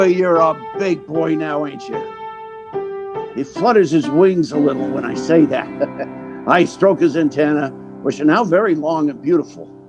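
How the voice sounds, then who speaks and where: A man playing a sentimental theatrical agent who tells his sad tale in a folksy, slangy, wistful way, in old time radio drama style. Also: fairly high pitch. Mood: amazed, tender.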